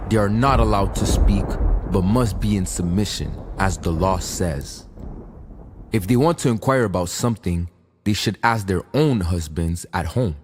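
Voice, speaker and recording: A man's voice: low voice, normal speed, background noise. A man reading scripture aloud in a stern, declamatory way. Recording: recording with loud thunder and ambience behind.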